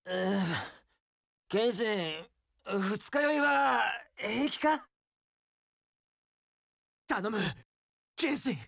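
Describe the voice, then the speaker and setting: An actor playing a carefree, romantic young wandering warrior with a tender streak in an action game, theatrical, breezy and poetic. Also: male voice, high-pitched, extremely slow, gravelly, pauses often, fairly bright tone, dry recording, studio quality, full character voice. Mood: concerned. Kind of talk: Japanese action game voice acting.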